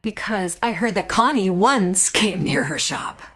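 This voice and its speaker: A worried, stubborn wife from a crime radio play. Her pitch moves widely, and she is curt and insistent, like someone wounded who will not give way. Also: lively intonation, measured pace, a bit bright. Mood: insistent, accusing. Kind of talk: crime radio drama acting.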